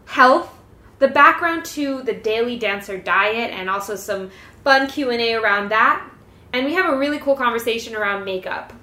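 A young woman speaks as a podcast host with a fairly high voice. Her talk is casual, slangy and effusive, like a chat between peers. The room adds a noticeable echo around her words. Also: lively intonation, normal speed, bright, forceful tone. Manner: effusive, informal slangy speech. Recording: roomy, echoey sound.

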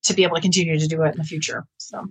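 A woman speaking as a professor in an interview, explaining her research in an earnest, measured, halting way with self-corrections. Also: sits low, level tone, breathy edge, rough recording.

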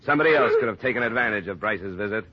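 A low-voiced hard-boiled investigator played by a man, level-headed and terse, with a dry, firm delivery in classic radio drama style. The old recording is muffled and clearly noisy. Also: lively intonation, rapid-fire, lightly acted. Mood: probing, steady. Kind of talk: 1950s radio drama acting and narration.

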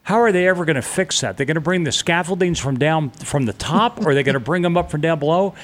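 A man's voice: fairly high pitch, fast talker. A middle-aged man telling a personal story in an interview, blunt and vivid, with casual swearing. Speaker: interview guest.

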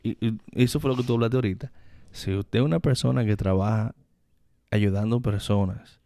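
A man's voice: fairly low pitch, moderate pace, vocal fry, dark tone, no echo. A man with a Dominican Spanish accent, talking casually and slangily as a podcast co-host, often repeating words as he goes. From Dominican Republic. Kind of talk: casual conversational chat.

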